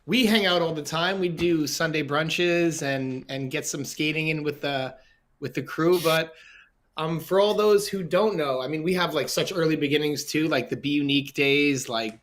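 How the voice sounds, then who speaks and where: Male podcast host, slightly high voice, loose rambling question style, casual. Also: normal speed, fairly bright tone. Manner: friendly, meandering questions full of "like". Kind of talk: casual interview.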